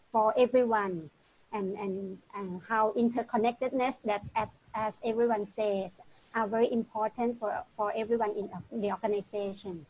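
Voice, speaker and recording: A woman who is a lecturer and doctoral student, tired late at night, hesitantly describing her research on a video call and filling pauses with many ums. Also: mid-range voice, a little mellow, poor audio. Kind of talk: introducing her research in an online meeting.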